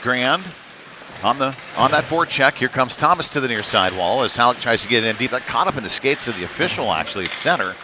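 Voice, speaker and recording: A man giving live play-by-play of youth hockey in a conversational, folksy manner, with pauses between calls. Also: mid-range voice, expressive. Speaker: play-by-play commentator. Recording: noisy live rink feed.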